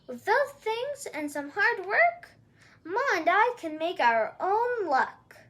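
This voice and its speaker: A woman's voice: very high-pitched, very animated, slow pace, fairly bright tone, heavily acted. A woman voicing a plucky young cowgirl in a storybook, earnest and resolute. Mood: hopeful. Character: plucky, determined young cowgirl in a fairy tale.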